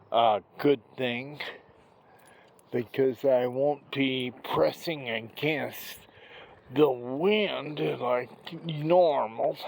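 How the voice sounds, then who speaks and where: A man with a gravelly voice from a stroke, talking slowly and haltingly in a casual vlog while out walking, recorded on a handheld phone. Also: mid-range voice, a little mellow. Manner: rambling, many pauses and restarts. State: recovering from a stroke, slow effortful speech. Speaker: vlogger. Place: outdoors on a walk.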